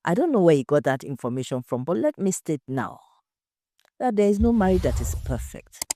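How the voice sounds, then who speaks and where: Low, earnest accused husband, read by a woman. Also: big pitch swings, a little fast, mellow, no echo, studio quality. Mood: defensive, stubborn. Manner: earnest, defensive. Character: stubborn, pleading husband accused of murder.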